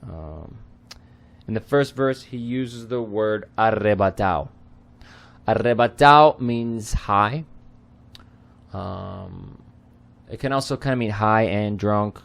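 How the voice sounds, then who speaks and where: Lesson host: man, low voice, slow unhurried delivery, non-native Spanish, relaxed explainer with frequent ums. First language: not Spanish. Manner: relaxed, filler-heavy, explains word by word. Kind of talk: casual lyric translation lesson.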